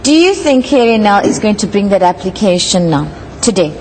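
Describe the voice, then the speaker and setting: A woman's voice: mid-range voice, big pitch swings, brisk, darkish tone, slight background noise, rough recording. A woman hosting a live interview, putting questions to a guest. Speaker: interviewer, host.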